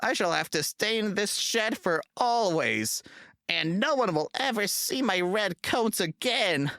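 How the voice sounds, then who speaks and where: A man voicing a proud young male steam engine in a storybook, crying out in a high, strained voice. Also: very animated, a little slow, sharp tone, dry recording, studio quality, heavily acted. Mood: sad. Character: proud young red male engine, upset then determined.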